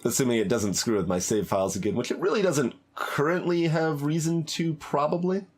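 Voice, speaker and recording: A man's voice: a little high, fairly quick, husky. A man giving casual video game commentary as a streamer, hesitant and thinking aloud as he plays. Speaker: gaming streamer.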